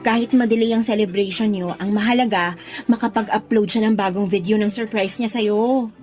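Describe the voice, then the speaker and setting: An actress playing a supportive, nosy young best friend, chatty and coaxing in a radio drama. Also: female voice, medium pitch, steady tone, normal speed, a little mellow, natural voice. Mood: coaxing, curious. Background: background music.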